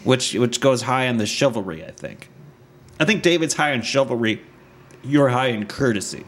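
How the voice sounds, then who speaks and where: A man hosting a casual podcast, lively and jokey, his voice swinging well up in pitch when he gets excited. He laughs often and swears casually between points, keeping his pitch in an average male range. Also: normal speed. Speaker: podcast host.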